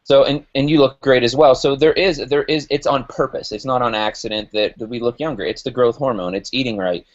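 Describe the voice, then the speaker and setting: A man's voice: medium pitch, fairly quick, few pauses, highs cut off. A man in his late thirties co-hosting a health show, talking in a chatty, loose and rambling way. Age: 30s. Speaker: co-host. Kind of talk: casual chat.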